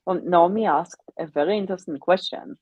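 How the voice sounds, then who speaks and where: A woman with a low, slightly rough voice, recorded close and dry. English is not her first language, and she searches for words as she chats informally on a reading livestream. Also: a little fast, darkish tone, no echo. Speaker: co-host of a livestreamed reading sprint. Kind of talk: casual unscripted livestream chat about books.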